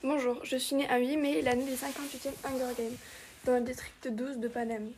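A woman's voice: sits high, little pitch movement, a little fast, darkish tone, slight background noise, natural voice. A woman playing a young archer heroine, answering earnestly and plainly.

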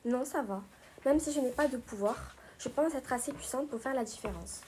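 A woman's voice: sits high, normal speed, warm tone, slight background noise, natural voice. A woman playing a confident female superhero spy, answering plainly and steadily. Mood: steady.

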